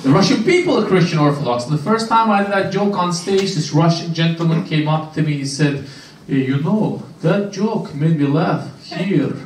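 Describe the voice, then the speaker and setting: A young man from Romania doing a stand-up set on a small club stage with a handheld mic, delivering deadpan punchlines and chatting with the crowd. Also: on the high side, moderate pace, few pauses, a little hiss or hum. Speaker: stand-up comedian. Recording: roomy club sound, camera far off. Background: audience laughter.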